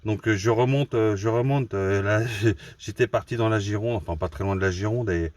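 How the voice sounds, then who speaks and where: A man's voice: on the low side, fairly quick, darkish tone, no echo. A man from Normandy chatting casually and politely as an amateur radio operator, often repeating his phrases. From Normandy, France. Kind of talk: casual radio contact chat. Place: mobile radio station.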